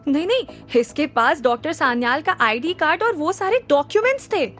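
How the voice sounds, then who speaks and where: A man's voice: very high voice, a bit bright, faint music behind. A woman voicing a nervous hospital doctor who speaks formally and defensively. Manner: polite, formal, hurried.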